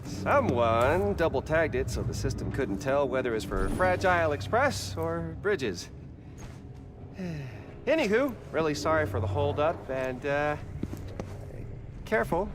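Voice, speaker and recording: A man's voice: on the high side, very animated, measured pace, noisy background. An actor playing a sly male villain posing as a courier in a video game, mock-polite and teasing. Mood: mock-friendly. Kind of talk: video game cutscene acting.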